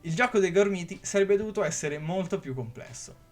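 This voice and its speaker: A man's voice: sits high, animated, brisk, faint music behind. A man narrating a scripted explainer video, reading from a script and stumbling now and then. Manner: reads from a script, occasional stumbles. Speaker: YouTube creator.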